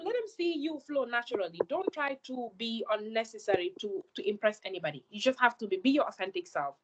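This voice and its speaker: A young woman with a Gambian accent coaches scholarship applicants in an earnest, direct way, often repeating words to drive a point home. Her voice sits at an ordinary middle pitch. The recording sounds dull and lo-fi. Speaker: scholarship mentor. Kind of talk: advice talk to applicants.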